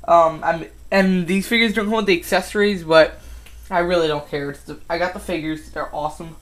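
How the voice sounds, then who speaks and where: A man's voice: a little high, fairly even intonation, a little fast, fairly bright tone. A fifteen-year-old boy chatting casually about his toy collection, rambling with lots of ums and restarts. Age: teens. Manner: rambling, with many ums and self-corrections. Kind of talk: casual collection update vlog.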